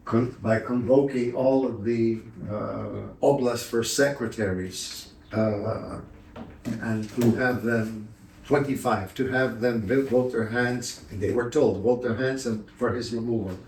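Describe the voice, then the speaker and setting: A man's voice: mid-range voice, a little mellow, a little hiss or hum. An older man with a Ukrainian accent giving an unscripted university lecture, digressing and restarting as he speaks. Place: lecture hall. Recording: roomy sound from a distance.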